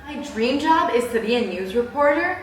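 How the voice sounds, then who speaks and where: A young woman chatting casually in a personal vlog, with a bubbly, animated, giggly way of exaggerating things. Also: a little high, moderate pace. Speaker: vlogger. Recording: roomy echo, distant mic, background hiss.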